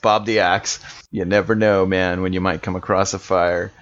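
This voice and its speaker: A man's voice: sits low, level tone, normal speed, a bit bright, highs cut off. A man playing a laid-back, slangy biker in a tabletop game. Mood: easygoing, slangy. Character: laid-back scruffy male biker with a fire axe.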